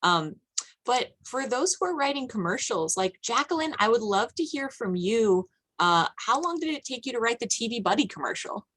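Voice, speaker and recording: A woman's voice: fairly high pitch, animated, normal speed, fairly bright tone. A woman hosting a group discussion conversationally, putting questions and comments to the participants. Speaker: moderator.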